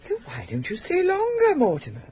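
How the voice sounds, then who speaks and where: An actress playing a refined, sharp-witted woman in her fifties in a radio drama, speaking in an arch, teasing manner. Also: sits high, huge pitch swings, fairly quick, lo-fi sound, slight background noise. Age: 50s. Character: genteel, sharp-witted middle-aged spinster sleuth.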